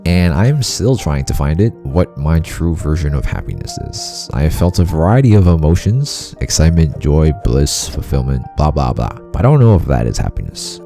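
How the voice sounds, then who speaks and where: A man's voice: rumbling low, dark tone. A young man talking through his thoughts on happiness and self-improvement in a rambling, self-deprecating way, often laughing at himself. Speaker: vlogger. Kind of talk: casual self-help monologue. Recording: faint guitar music behind.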